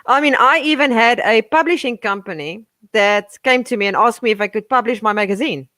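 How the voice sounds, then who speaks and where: A woman speaking as a guest in a casual chat, agreeable and steady as she explains her approach. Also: on the high side, brisk, no room sound, clean studio sound. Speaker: guest, business owner.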